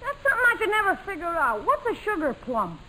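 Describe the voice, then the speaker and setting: A man's voice: very high-pitched, wildly swooping pitch, fast talker, a crowd in the background, full character voice. An actor playing a brash, wisecracking young boy in a 1940s radio comedy. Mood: curious. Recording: noisy old radio recording.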